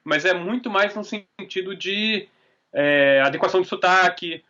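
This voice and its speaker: A man with a Curitiba accent, hosting a live podcast and speaking in a halting way, with many pauses and fillers. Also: high-pitched, sharp tone, muffled recording. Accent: Curitiba Portuguese. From Curitiba, Brazil. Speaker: podcast host. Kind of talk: casual chat on a live podcast.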